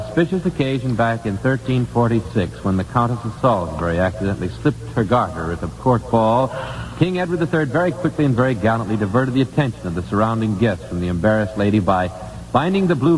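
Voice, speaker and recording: A man's voice: medium pitch, expressive, fairly quick. A man hosting a 1950s live radio quiz, chatty yet formal and courteous in his delivery. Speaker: radio quiz show host. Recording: old radio broadcast, long reverb, faint noise.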